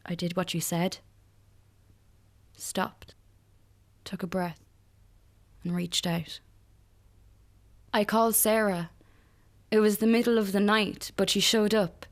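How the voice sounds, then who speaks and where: A woman with an Irish accent playing a fragile, hopeful young woman recovering from a crisis, speaking haltingly in a naturalistic radio drama. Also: mid-range voice, steady tone. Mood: subdued, vulnerable.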